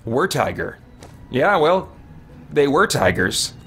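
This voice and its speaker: A man's voice: mid-range voice, big pitch swings, slow, fairly bright tone, slight background noise. A man in his forties doing casual livestream gameplay commentary, playfully talking to himself, his characters and viewers. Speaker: game streamer and host. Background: game music.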